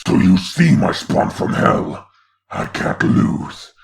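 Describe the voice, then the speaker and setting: A man voicing a grandiose, taunting demon lord in a comic dub, with a gravelly, theatrical delivery. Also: takes it slowly, slight background noise, natural voice. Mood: gloating, lecturing. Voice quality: gravelly, creaky. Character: grandiose demon lord, menacing mocking father of hellspawn.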